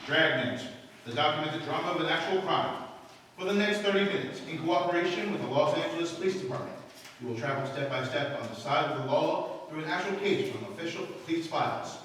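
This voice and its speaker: A man's voice: on the high side, brisk, a bit bright, close to an everyday voice. A man playing a solemn announcer of a radio crime show, with a formal, declamatory delivery. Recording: distant, echoey hall with long reverb, hiss.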